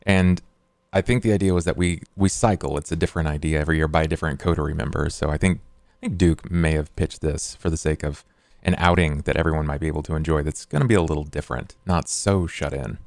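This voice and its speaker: A man's voice: a bass voice, steady tone, quick pace, a little mellow, no room sound. A man playing in a tabletop role-playing game, answering thoughtfully and restarting his phrases. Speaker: player.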